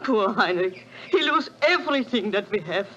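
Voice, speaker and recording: An actress playing a grieving, reproachful wife, in 1940s radio acting. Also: female voice, mid-range voice, expressive, moderate pace, fairly bright tone, lightly acted. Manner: pleading, reproachful. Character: grieving German wife, accusing, middle-aged. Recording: dull old radio broadcast with hiss.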